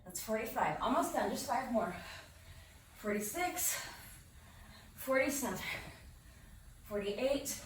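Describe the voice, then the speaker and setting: A woman's voice: fairly high pitch, animated, slow, long pauses. Phlegmy woman counting workout reps. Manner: encouraging, counts reps aloud while exercising. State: lingering cough, phlegmy throat. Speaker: fitness instructor. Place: home room. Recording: very echoey room, distant mic, faint noise.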